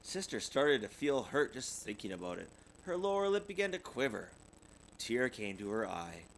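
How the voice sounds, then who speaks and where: Animated man reading a children's storybook. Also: medium pitch, normal speed, gravelly, slight background noise, rough recording. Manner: expressive, with occasional stumbles and self-corrections. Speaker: parent reading a children's book aloud.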